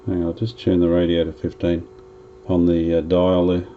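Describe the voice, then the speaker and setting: A man's voice: low-pitched, monotone, normal speed, dull audio, a little hiss or hum. A man narrating as he restores an old radio, chatting casually and thinking aloud while he works.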